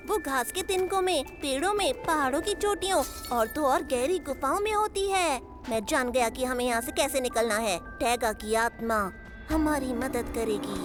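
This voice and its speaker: Woman playing earnest young leopard cub boy, high-voiced. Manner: earnest, reassuring, wonder-filled. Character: young male leopard cub, calm, brave, believing leader. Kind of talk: dubbed children's cartoon acting. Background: prominent music.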